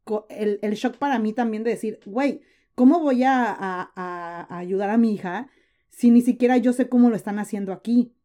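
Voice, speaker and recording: A woman's voice: on the high side, moderate pace, warm tone. A woman in her thirties from Mexico with a Mexican accent, chatting as a podcast guest, full of fillers and restarts. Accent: Mexican Spanish. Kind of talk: casual interview chat.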